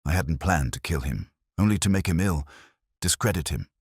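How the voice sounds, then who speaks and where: A man voicing a weary, guilt-ridden elderly English magistrate in a story, confessing in halting, formal phrases. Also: sits low, expressive, breathy edge, no room sound, studio quality. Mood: guilty confession.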